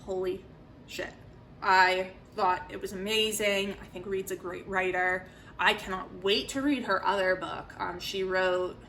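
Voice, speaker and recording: A woman's voice: mid-range voice, a bit bright, a little hiss or hum. A young adult woman chatting casually as a book vlogger, with an emphatic, opinionated and informal way of talking. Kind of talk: casual chat to camera, book review.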